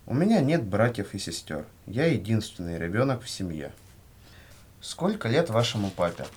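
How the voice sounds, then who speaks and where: A man reading scripted questions and answers for a language lesson in a measured way. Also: on the low side, big pitch swings, darkish tone, breathy edge. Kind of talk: scripted language-lesson dialogue, read aloud.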